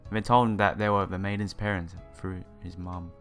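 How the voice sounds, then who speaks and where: A man's voice: low voice, fairly even intonation, moderate pace. A man doing a game playthrough, reading the story text aloud with casual asides and stumbling over some words. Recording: game music behind the voice.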